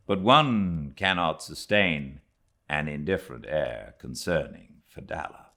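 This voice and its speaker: A British man narrating classic literature like an audiobook, with measured, dramatic phrasing and deliberate pauses. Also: sits low, fairly bright tone. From Britain.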